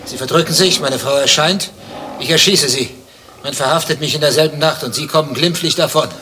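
Jealous husband, bright forceful voice. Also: male voice, on the high side, brisk, slight background noise. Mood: probing, suspicious. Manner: insistent, indignant, pedantic questioning. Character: jealous, suspicious husband, a dentist, interrogating his rival. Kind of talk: German satirical radio play acting.

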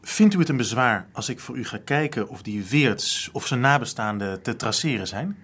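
An actor playing an eager, polite young student art sleuth in a radio drama, speaking earnestly with some hesitation. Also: male voice, medium pitch, expressive, normal speed, a bit bright, slightly rough. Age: young adult.

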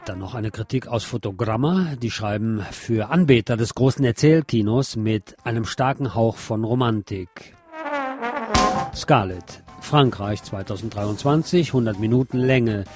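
A man's voice: lowish voice, unhurried, over music. A man giving a personal, opinionated film review in a conversational radio commentary style.

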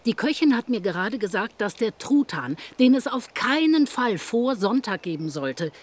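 A woman's voice: on the high side, very animated, moderate pace. A woman acting a practical country parson's wife in a German radio drama, chatty, matter-of-fact and wry. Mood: wry, fussing. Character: brisk, practical country parson's wife fussing over household.

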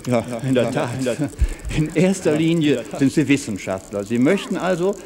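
A man's voice: a little high, expressive, moderate pace, darkish tone, a little hiss or hum. A man playing a persistent, formal interviewer in a staged radio interview, probing and puzzled, recorded in a reverberant hall.

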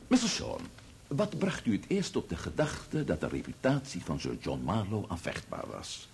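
An actor playing a formal, grave and indignant barrister in a radio drama, speaking in pompous, oratorical courtroom diction. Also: male voice, fairly low pitch, huge pitch swings, moderate pace, vocal fry, darkish tone, a little hiss or hum, heavily acted. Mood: probing.

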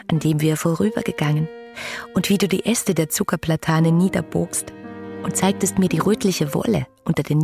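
An actress playing a passionate, romantic young woman in a literary radio play, speaking intimately and poetically to her beloved in a husky, breathy voice. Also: lowish voice, a little mellow. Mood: tender.

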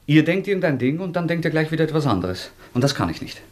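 Young brooding man, radio drama, fairly high animated voice, intense, repetitive, halting, fevered. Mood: obsessive, intense. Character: feverish, obsessive, brooding young former student. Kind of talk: German radio drama acting.

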